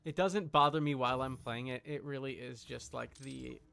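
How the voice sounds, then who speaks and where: A man's voice: medium pitch, moderate pace, creaky, bright, forceful tone, smooth. A man chatting casually on a gaming livestream, rambling and emphatic as he corrects himself, with faint game sound behind him. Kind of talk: casual gaming stream chat. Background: video game sound.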